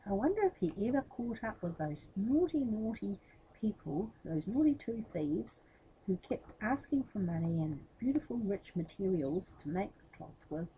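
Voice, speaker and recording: Female children's storyteller, expressive and lively, mellow tone, talks straight to the kids, some background noise. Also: mid-range voice, normal speed, rough recording. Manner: expressive, addressing young listeners directly. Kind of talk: children's story reading with explanatory asides.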